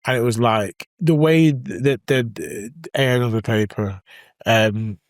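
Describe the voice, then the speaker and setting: Relaxed Mancunian man, dry podcast mic. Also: mid-range voice, a little slow, no room sound. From Manchester. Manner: relaxed storyteller, lots of "yeah" backchannel. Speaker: podcast co-host. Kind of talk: casual podcast chat.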